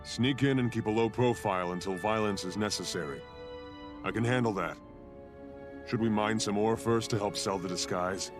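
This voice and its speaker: Guy as big steady game hero warrior, lowish voice, earnest and plain spoken, music behind. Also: normal speed, natural voice. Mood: willing. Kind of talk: fantasy video game voice acting.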